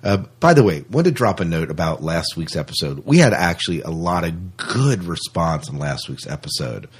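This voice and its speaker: Low-voiced man, husky, joking podcast host. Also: big pitch swings, moderate pace. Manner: joking, teasing. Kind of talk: casual chat.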